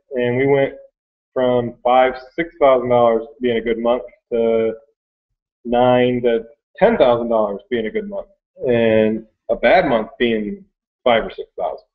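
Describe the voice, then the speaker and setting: Low-voiced American man, phone-like sound. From Michigan, United States. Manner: rambling, conversational. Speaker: online reseller sharing his story. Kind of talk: casual unscripted storytelling. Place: small cluttered workroom indoors. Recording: thin phone-like webcam audio.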